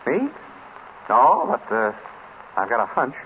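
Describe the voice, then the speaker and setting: An actor playing a wry, unflappable private detective in an old radio drama, with casual, clipped banter. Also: male voice, medium pitch, very animated, measured pace. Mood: thoughtful, confident. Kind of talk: 1930s-40s radio drama acting. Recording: muffled old radio recording, faint noise.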